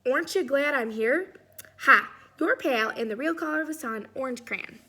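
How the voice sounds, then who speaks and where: A woman's voice: high voice, moderate pace, bright, forceful tone. A woman voicing a smug, scornful crayon in a storybook, sneering and sure of itself. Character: scornful smug Orange Crayon, rival claimant to the sun.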